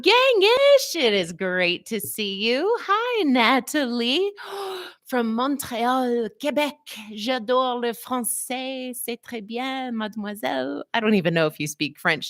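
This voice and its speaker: A middle-aged woman hosting a casual live stream, playful and chatty, greeting viewers by name with high swoops in her voice. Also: a bit bright, no echo, clean studio sound. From United States. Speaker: livestream host, physical therapist. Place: small office.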